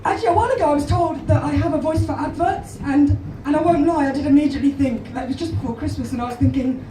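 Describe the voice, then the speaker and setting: A woman in her twenties with an English accent and a hoarse, croaky natural voice, doing a self-deprecating stand-up set with a deadpan delivery. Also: high voice, fast talker, a little hiss or hum. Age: 20s. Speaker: stand-up comedian. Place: small comedy club stage, live audience. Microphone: handheld stage mic, filmed from far back in the crowd. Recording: echoey club recorded from far off. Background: audience laughter.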